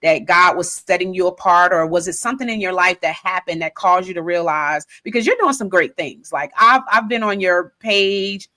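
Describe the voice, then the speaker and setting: Female host, middle-aged, low-pitched, lively and expressive in her questions and stories. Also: normal speed, few pauses. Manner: expressive, animated storyteller. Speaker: podcast host. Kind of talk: conversational interview.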